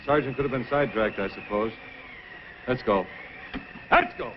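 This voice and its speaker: A hearty frontier dog-sled driver, muffled. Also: male voice, medium pitch, sing-song. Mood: puzzled, determined. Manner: brisk, exclamatory, thinking aloud. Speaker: radio actor. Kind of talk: old-time radio adventure acting. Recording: dull old radio recording with music.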